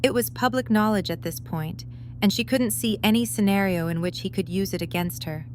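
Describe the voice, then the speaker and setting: A woman's voice: mid-range voice, a little fast, darkish tone. A woman reading scripted story narration as the narrator of an audio drama. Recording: faint background hum and noise.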